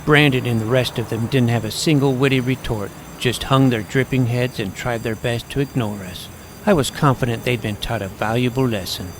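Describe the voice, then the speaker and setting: A man reading a first-person story aloud in a wry, deadpan manner. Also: mid-range voice, normal speed, a little hiss or hum. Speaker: audiobook narrator. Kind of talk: first-person scripted narration.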